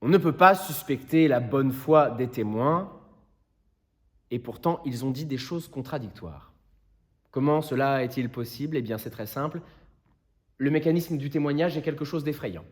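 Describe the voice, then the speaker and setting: A man playing an earnest, impassioned defence lawyer, delivering a solemn theatrical plea to a jury in deliberate rhetorical phrases. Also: medium pitch, natural voice. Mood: measured, persuasive. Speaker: actor performing a monologue. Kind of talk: theatrical courtroom closing speech.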